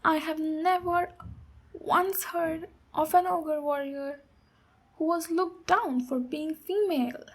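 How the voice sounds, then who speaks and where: A woman playing a boisterous, protective female ogre warrior in an ASMR roleplay, speaking deliberately with pauses between phrases. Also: extremely high pitch, full character voice. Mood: disapproving. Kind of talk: ASMR roleplay, storytelling and direct address.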